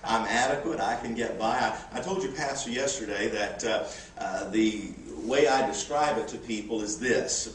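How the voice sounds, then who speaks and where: A man's voice: mid-range voice, reverberant, recorded from a distance, a little hiss or hum, poor audio. A missionary in his forties, giving a folksy, humorous church report with frequent "uh" fillers. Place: church sanctuary.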